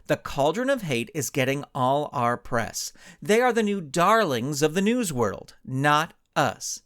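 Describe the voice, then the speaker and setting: Man reading an insistent superheroine, lively pitch. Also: a little slow, no room sound, studio quality. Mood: insistent, frustrated. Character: assertive, impatient superheroine.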